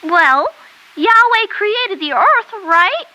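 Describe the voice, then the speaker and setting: Puppet show: a whiny baby girl, squeaky and high, slow and bright. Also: sing-song, full character voice, poor audio. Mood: questioning. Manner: wailing, whiny, slow and drawn-out.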